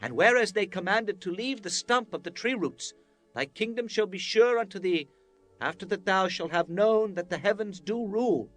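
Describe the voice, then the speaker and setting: An actor playing an earnest, respectful prophet in a dramatized scripture reading, speaking with solemn, deferential oratory. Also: male voice, high voice, expressive, normal speed, soft background music. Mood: grave, pleading. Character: earnest, respectful prophet counseling his king.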